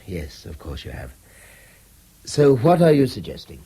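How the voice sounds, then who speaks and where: Educated English tutor under siege, husky, animated, clipped; 1970s radio drama. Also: male voice, fairly low pitch, measured pace, full character voice. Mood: firm, tense. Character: conscientious male tutor under a slander campaign, strained, forthright. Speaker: actor in a radio play.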